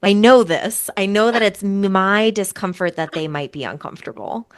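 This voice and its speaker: A young adult woman therapist speaking candidly as a guest, animated as she voices her own thoughts. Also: mid-range voice, moderate pace, smooth, no echo. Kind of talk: candid conversational interview.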